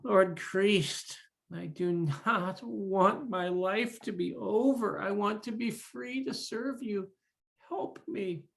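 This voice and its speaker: A storyteller voicing a devout, gentle young woman, a king's daughter, in a told legend, earnest and soft-spoken. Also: medium pitch, animated, moderate pace, pitched above the performer's own voice, close to an everyday voice. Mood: prayerful.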